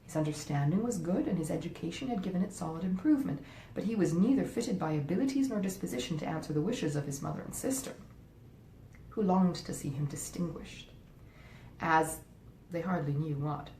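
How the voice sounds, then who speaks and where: Woman reading an old novel, soft mellow tone, roomy echo w/ a bit of rumble. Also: lively intonation, a little fast. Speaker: audiobook reader, author reading a classic novel. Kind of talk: scripted literary narration, read live.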